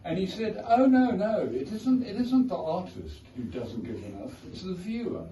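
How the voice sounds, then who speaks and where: A man's voice: high voice, very animated, moderate pace, mellow. A man with a South African accent, telling wry, wandering anecdotes as an author at his book launch. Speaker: author giving a launch talk. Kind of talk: informal speech to a crowd. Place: art gallery, indoors. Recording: echoey gallery, distant, noisy.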